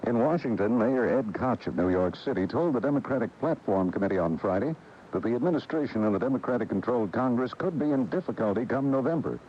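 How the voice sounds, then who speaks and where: Lively American male radio newsreader. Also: medium pitch, fast. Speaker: radio news anchor. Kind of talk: scripted news reading. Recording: dull radio broadcast with faint noise.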